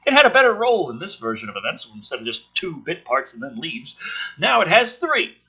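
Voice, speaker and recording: A man's voice: on the high side, huge pitch swings, fairly quick, sharp tone, slightly rough, rough recording. A man sarcastically reading through a film script and commenting on it in a profane, mocking, theatrical way. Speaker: host of a script review show.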